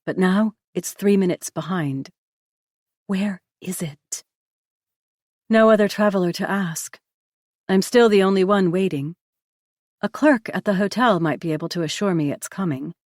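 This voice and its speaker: A woman narrating a novel in the first person, with a measured, even storytelling delivery. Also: medium pitch, dry recording, clean studio sound. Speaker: audiobook narrator.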